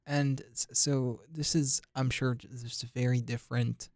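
A radio host asks meandering, friendly questions, restarting sentences and trailing off with fillers. The mid-pitched voice has a gravelly fry. It is recorded dry, close to the mic. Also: flat delivery, measured pace, a little mellow, no room sound. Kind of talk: casual interview chat.

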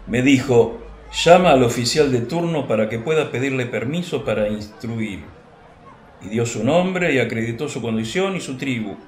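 A man playing a proud, defensive disciple in a radio drama, talking forcefully and almost arrogantly. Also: medium pitch, lively intonation, moderate pace, a little hiss or hum, natural voice. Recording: echoey room.

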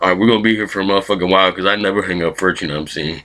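A man's voice: low-pitched, rapid-fire, pushed and bright. A man voicing a brash young male thug for a prank call, cocky and slangy, with swearing. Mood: cocky, stubborn.

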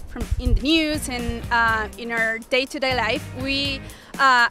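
A woman in her thirties from Spain, speaking English with a Spanish accent, giving earnest, measured answers into a handheld mic on a city street. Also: high voice. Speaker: passerby. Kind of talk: street interview. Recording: music bed under voice.

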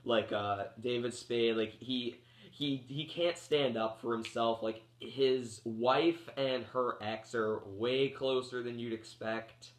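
Guy around 30 getting over a cold, rambles with lots of um and like, mid pitch voice, roomy echo. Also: steady tone, slow. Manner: rambling, full of um and like, restarts sentences. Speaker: movie reviewer. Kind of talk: casual rambling movie review. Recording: echoey room.

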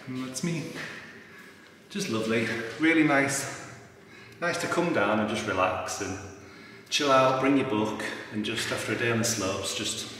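Male travel vlogger, bright forward voice, chatty and upbeat, casual delivery over faint background noise. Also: mid-range voice. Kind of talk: casual vlog chat.

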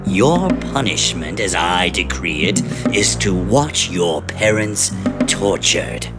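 Brief: man voicing a cruel lord, very low, slow, menacing. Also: expressive, loud music behind the voice. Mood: gloating. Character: cruel, cold nobleman husband.

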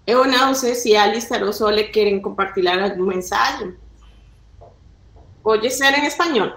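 A woman's voice: mid-range voice, fairly quick, fairly bright tone. A woman whose first language is Spanish, speaking Portuguese in an upbeat, inviting way on a video call. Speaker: community organizer. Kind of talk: welcoming address on a video call. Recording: echoey room on video call.

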